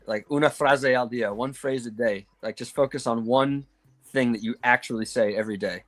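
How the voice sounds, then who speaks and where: A man chatting casually on a video call, relaxed and laughing easily. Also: medium pitch, fairly quick. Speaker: guest, comics creator. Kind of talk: casual chat.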